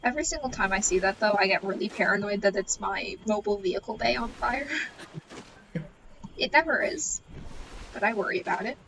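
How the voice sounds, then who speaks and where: A woman's voice: medium pitch, a little fast, creaky, pauses often, recorded from a distance, background noise. A woman chatting casually while streaming a video game, with a playful, silly manner and a habit of repeating phrases in a singsong. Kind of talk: casual gameplay chat.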